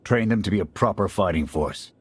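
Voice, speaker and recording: A man playing a blunt, gruff military squad commander in a video game. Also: low-pitched, a little fast, a little mellow, dry recording. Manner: gruff, blunt soldier talk.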